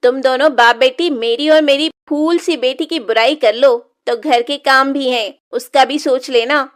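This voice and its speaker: A middle-aged village stepmother played by a woman from a moral-story cartoon. Her voice sits high, and she scolds harshly, taunting and threatening as a cruel tyrant of the house. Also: measured pace.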